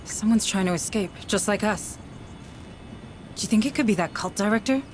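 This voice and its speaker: A woman's voice: mid-range voice, normal speed, darkish tone, slight background noise, lightly acted. A woman playing a determined, level-headed officer in a video game, speaking firmly and seriously. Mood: cautious. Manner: firm, serious. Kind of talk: dramatic video game acting.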